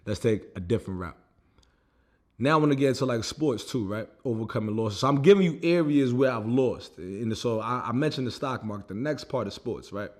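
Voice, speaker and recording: A man's voice: medium pitch, expressive, a little fast, darkish tone. A young American man vlogging about his own setbacks in a loose, casual way, with lots of "you know what I mean" and "like". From United States. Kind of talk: casual personal storytelling.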